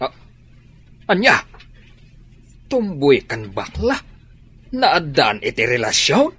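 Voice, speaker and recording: A man playing a concerned Filipino father in a radio drama, with a husky voice and a Filipino accent. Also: medium pitch, huge pitch swings, slow pace, sharp tone, exaggerated voice. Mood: gossipy, puzzled. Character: plain-spoken Filipino father, fretting over his grown children. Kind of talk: Ilocano radio drama acting.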